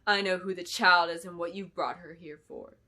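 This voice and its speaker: A cruel fantasy villainess, played by a woman reading aloud, bright and forceful in tone. She rages and commands, stretching her words into loud, imperious threats. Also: on the low side, steady tone. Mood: scornful. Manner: loud, drawn-out, commanding shouts and hisses.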